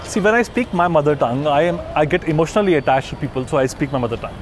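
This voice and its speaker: A man's voice: on the high side, very fast. A man from northern India with an Indian English accent, earnestly explaining himself in a street interview and repeating his points. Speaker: office worker interviewee. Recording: music playing underneath.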